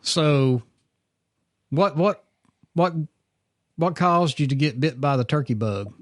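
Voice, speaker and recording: A man's voice: on the high side, lively intonation, slow, no echo. A man, hosting a casual interview with short, jokey questions. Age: 50s. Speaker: podcast host.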